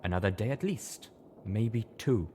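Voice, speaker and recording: A man acts out a grave female zebra healer in a fantasy audiobook. The delivery is quiet, flat and measured. The character comes across as calm, blunt and firm. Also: on the low side, a little hiss or hum. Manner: quiet, solemn, flat and deliberate.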